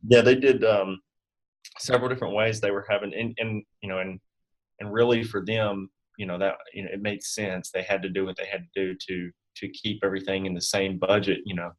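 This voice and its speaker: A man giving informal interview answers as an insurance expert, talking in a rambling, halting, conversational way. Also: lowish voice, level tone, rough recording. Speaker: guest expert on health insurance benefits.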